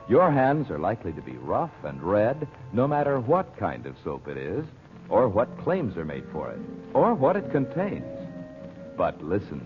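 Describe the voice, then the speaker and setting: Male announcer, old-time radio sponsor read, persuasive, very lively intonation, dull lo-fi recording. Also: on the low side. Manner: polished, persuasive, addressing listeners. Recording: muffled vintage radio recording. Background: music.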